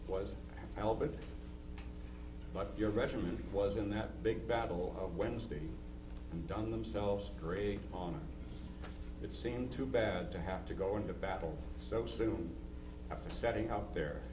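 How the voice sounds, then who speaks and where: In an echoey, noisy room, far from the microphone, a man plays a Civil War era father reading his letter. His low voice is plain-spoken and sober with concern for his son. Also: measured pace, lightly acted. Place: live historical society presentation, distant from mic. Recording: distant, echoey, noisy live room with hum.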